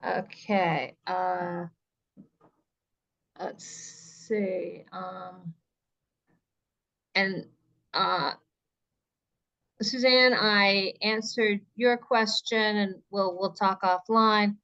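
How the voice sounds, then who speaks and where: A woman's voice: mid-range voice, fairly even intonation, extremely slow, pauses often, fairly bright tone, muffled recording. A woman presenter answering questions in an informal webinar, explaining things step by step and thinking aloud.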